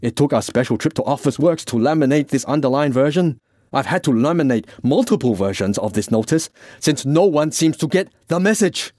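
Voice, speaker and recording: A man's voice: medium pitch, animated, a little fast, dark tone, dry recording, clean studio sound, pitched above the performer's own voice, natural voice. A man voicing a fussy, pedantic, exasperated caretaker in an audiobook story, easily wound up. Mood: pedantic, aggrieved.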